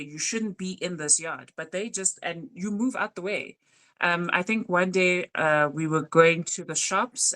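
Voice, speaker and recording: A woman in her thirties with a South African accent, co-hosting a casual podcast chat and telling stories with animated emphasis. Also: low-pitched, rough recording. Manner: animated storytelling with isiZulu words mixed in. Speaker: podcast co-host.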